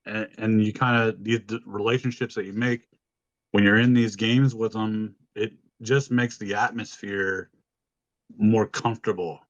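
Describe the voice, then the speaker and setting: A man with a deep voice co-hosting a podcast, telling stories in an informal, casual way and swearing freely. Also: steady tone, unhurried, no room sound, dull audio. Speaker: podcast co-host. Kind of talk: casual chat, storytelling.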